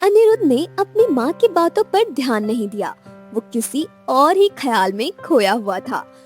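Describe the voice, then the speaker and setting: A woman narrating a scripted romantic audio story in an expressive storytelling manner. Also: sits high, moderate pace, faint music behind.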